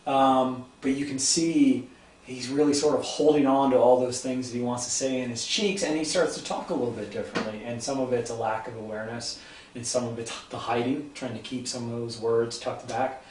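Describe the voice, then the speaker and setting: A man's voice: medium pitch, fairly even intonation, brisk, darkish tone, recorded from a distance. Hesitant Ohio man, echoey and off-mic. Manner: thoughtful, hesitant, many ums and restarts. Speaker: host. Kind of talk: casual interview chat. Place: home kitchen. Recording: echoey room, voice off-mic, faint hum.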